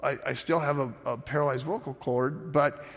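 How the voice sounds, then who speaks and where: A man preaching a sermon, telling stories lively with fillers and restarts; his voice turns raspy when he speaks quietly. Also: medium pitch, moderate pace, big-room sound. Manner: animated storytelling with frequent restarts and fillers.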